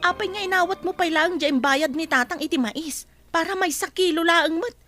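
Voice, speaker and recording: A woman's voice: very high voice, moderate pace, a bit bright, faint music behind. An actress playing an exasperated, principled wife who stands up for her family, in an Ilocano radio drama. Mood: reproachful.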